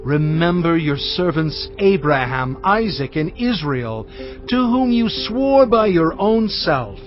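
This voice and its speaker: An actor playing Moses, an impassioned prophet who pleads and gives emphatic commands in a dramatized scripture reading. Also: male voice, fairly high pitch. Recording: dull lo-fi audio with loud background score.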